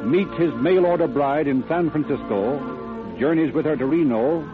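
Casting: male American narrator for vintage radio drama, formal, expressive storyteller, music underneath, thin old-broadcast sound. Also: fairly high pitch, moderate pace, narrow, tinny audio.

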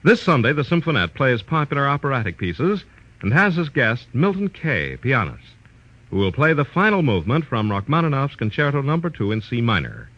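A man's voice: mid-range voice, huge pitch swings, a little fast, muffled recording. An American man announcing in formal, polished 1940s radio style, reading a scripted promo on an old broadcast recording.

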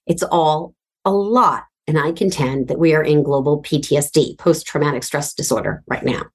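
Middle-aged female host, low voice, candid and chatty monologue with wry asides. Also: moderate pace, slightly rough. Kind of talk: personal storytelling monologue.

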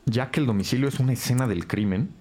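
A man's voice: mid-range voice, quick pace, a little mellow, close to an everyday voice. A man playing a matter-of-fact police analyst reporting information in an audio crime drama. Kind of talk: scripted drama dialogue.

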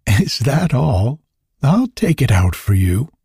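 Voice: mid-range voice, big pitch swings, slow pace, dark tone, husky, no echo, exaggerated voice. A narrator voicing a kindly, curious little girl in a storybook, earnest and full of wonder. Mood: motherly.

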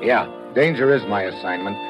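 An actor playing a tough, world-weary government agent, narrating in hard-boiled 1950s radio style. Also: male voice, lowish voice, normal speed, lightly acted. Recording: muffled old radio recording, music behind.

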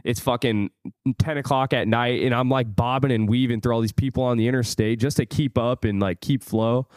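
A man in his twenties hosting a podcast, excitable and swearing freely as he tells loose stories. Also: medium pitch, fairly quick, no echo. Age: 20s. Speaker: podcast host. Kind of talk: casual chat.

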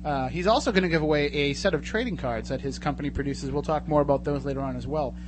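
A man's voice: on the high side, quick pace, noisy background. A man hosting a radio show in a casual, chatty and jokey way from a studio.

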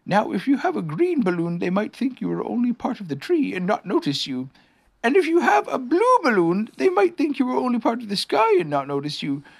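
Reader doing a silly little storybook bear, way up high, earnest n halting, swoopy pitch. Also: huge pitch swings, gravelly, full character voice. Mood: musing. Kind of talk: children's storybook character voice.

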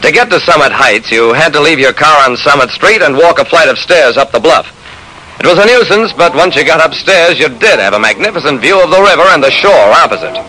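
Through the noise of a vintage radio recording comes a shrewd private eye telling his case. His delivery is clipped and hard-boiled, with animated pitch and a bright, forceful edge. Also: brisk, low-quality recording. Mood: measured, matter-of-fact. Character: shrewd, persistent male private investigator narrating his case. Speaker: narrator and lead actor. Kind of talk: old-time radio detective drama narration.

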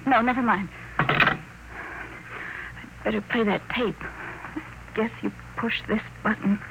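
An actress playing an anxious, hesitant young secretary newly arrived on another planet, in old-time radio drama style. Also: female voice, on the high side, slow, gravelly, fairly bright tone, full character voice. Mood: anxious, bewildered. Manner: hesitant, deferential. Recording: noisy vintage radio broadcast.

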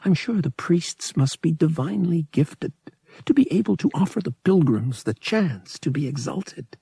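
A man voicing an earnest, devout young female pilgrim in an audiobook, reserved, wistful and softly spoken. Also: fairly high pitch, lively intonation, normal speed, gravelly, slightly rough, no room sound, clean studio sound, voice pushed up, exaggerated voice. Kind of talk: audiobook character acting.

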